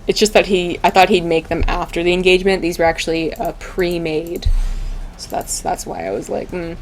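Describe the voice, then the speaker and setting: A woman doing live, casual esports commentary in an excitable, slangy way, her voice a little tired from talking. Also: lowish voice, normal speed, soft background music. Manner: gushing, excitable, slangy and informal. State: voice tiring, starting to go. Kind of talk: live video game commentary, casual and chatty.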